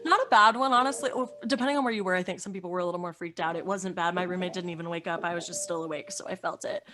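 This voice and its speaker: A woman's voice: on the low side, very fast. A young woman, chatting casually and jokingly on a video call. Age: young adult. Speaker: podcast cast member. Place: bright bedroom, video call. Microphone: microphone with round pop filter.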